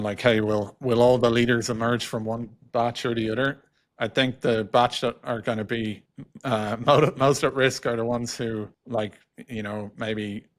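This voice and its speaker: Middle aged guy being interviewed, kinda low gravelly voice, stops and restarts a lot, pretty even tone. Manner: hesitant, halting, frequently repeating words. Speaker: podcast guest, technology executive. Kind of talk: thoughtful interview conversation.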